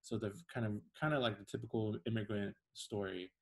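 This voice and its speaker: A young man speaks in a soft, rambling way as a podcast guest. His voice sits low, and he often restarts his sentences. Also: fairly even intonation, fairly quick. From China. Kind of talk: casual interview.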